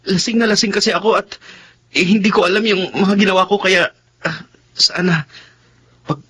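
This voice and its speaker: A man's voice: high voice, steady tone, normal speed, fairly bright tone, husky. An actor playing a hesitant, flustered young man in love in a Filipino radio drama, stammering as he talks. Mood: confused, flustered. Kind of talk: Tagalog radio drama acting.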